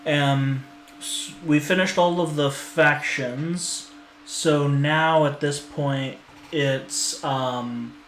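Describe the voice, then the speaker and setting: Slow, halting man in an echoey room. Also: fairly high pitch, steady tone, a bit bright. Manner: hesitant, many fillers and restarts. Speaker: lore streamer. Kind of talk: casual stream commentary. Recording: echoey room with faint noise.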